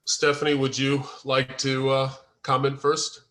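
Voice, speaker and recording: A man leading a discussion of a script on a video call, explaining in a deliberate way. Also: medium pitch, monotone, a bit bright. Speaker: playwright leading a table-read feedback session. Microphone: video call microphone.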